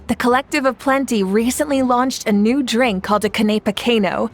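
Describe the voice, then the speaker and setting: A woman's voice: on the high side, lightly acted. A woman playing a hearty, friendly tribeswoman in a video game, talking in a breezy, good-natured, familiar way. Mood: enthusiastic.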